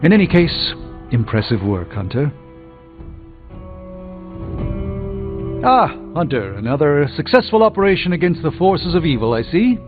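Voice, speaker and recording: Proud male sorcerer, formal, measured, wistful, theatrical game dialogue, muffled audio, music behind. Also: a little high. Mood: pompous, approving. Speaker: video game voice actor. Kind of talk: dramatic video game dialogue.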